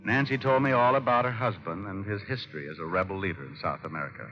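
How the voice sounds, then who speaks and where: An actor playing a wry, tough private detective in an old radio drama, speaking and narrating in clipped, hard-boiled phrases. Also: male voice, low voice, fast talker, slight background noise, lightly acted. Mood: terse narrating. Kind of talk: 1940s-50s radio detective drama acting. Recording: dull old radio recording with loud music.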